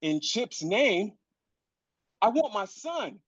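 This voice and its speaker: A man's voice: high voice, big pitch swings, slow pace, slightly rough, dry recording, highs cut off, heavily acted. An actor playing a grieving, furious father in a dramatic script reading, speaking emphatically and incredulously, repeating phrases for weight. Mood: outraged, hurt.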